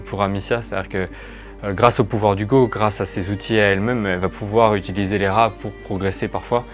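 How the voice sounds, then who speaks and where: Over a music bed, a man with a fairly low voice explains things in an interview. He is a game developer, phrasing his points thoughtfully and with some hesitation.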